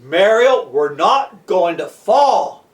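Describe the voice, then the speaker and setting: A storyteller voicing a brave, earnest boy who reassures in a steady way. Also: mid-range voice, measured pace, recorded from a distance. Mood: earnest reassurance. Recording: echoey room, off the microphone.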